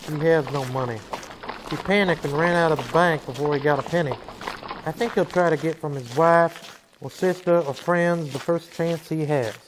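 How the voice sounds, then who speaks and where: An actor playing a weary, commanding Old West marshal, terse and authoritative, in a western radio drama. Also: male voice, fairly high pitch, normal speed, slight background noise, lightly acted, rough recording. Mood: weary, brooding.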